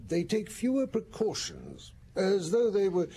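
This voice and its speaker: An actor playing a learned, wry psychiatrist in a radio drama, speaking in a considered, professorial way. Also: male voice, sits high, huge pitch swings, unhurried, warm tone, full character voice. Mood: considered, expounding.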